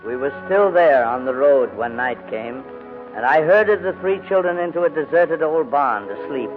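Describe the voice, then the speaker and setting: An actor playing a crusty but kindly older gentleman in a formal, hesitant manner, in 1940s radio drama style. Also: a little high, animated, normal speed, lightly acted. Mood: somber narrating. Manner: fussy, grumbling, formal, hesitant. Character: crusty, reluctant but kindly old English gentleman guardian. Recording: muffled vintage 1940s radio recording, music.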